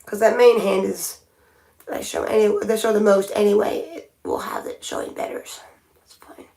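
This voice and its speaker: A woman's voice: mid-range voice, steady tone, moderate pace, creaky, warm tone. A woman chatting casually on a livestream as she paints her nails, thinking aloud and often restarting her sentences. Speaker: nail art livestreamer. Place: home by a window.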